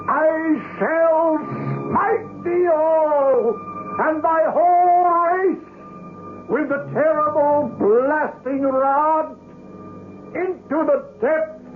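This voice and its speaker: A man's voice: very high-pitched, heavily acted. A man playing a grave doctor of the occult in a radio horror drama, speaking theatrically and solemnly. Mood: commanding, incantatory. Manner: grave, theatrical, archaic incantations. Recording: noisy, tinny old radio broadcast.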